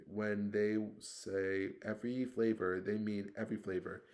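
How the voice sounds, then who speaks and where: A man's voice: lowish voice, flat delivery, unhurried, darkish tone, close to an everyday voice. A man voicing a blunt, grumbling schoolboy wizard in a read-aloud story. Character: cheeky, scruffy schoolboy wizard.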